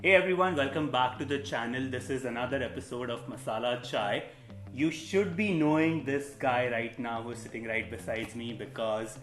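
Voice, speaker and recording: A man hosting a casual interview, friendly and a bit halting, with restarts as he talks. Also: mid-range voice, a bit bright, reverberant. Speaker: host.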